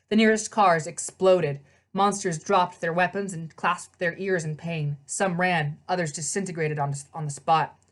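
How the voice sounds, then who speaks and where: A woman reading a children's novel aloud as narrator, in scripted storybook narration. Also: fairly low pitch.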